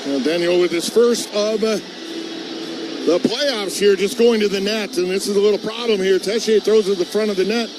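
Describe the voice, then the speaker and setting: A man giving live hockey analysis as colour commentator, in a conversational, colloquial and somewhat halting way. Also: high-pitched. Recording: clear arena noise behind. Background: hockey arena noise.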